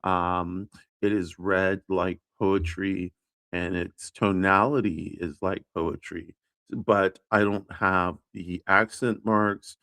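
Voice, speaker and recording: A man hosting an early-morning livestream, talking in a halting way full of fillers and restarts, unsure of his pronunciation. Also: deep voice, steady tone, bright, forceful tone, no room sound. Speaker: livestream host. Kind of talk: casual livestream chat.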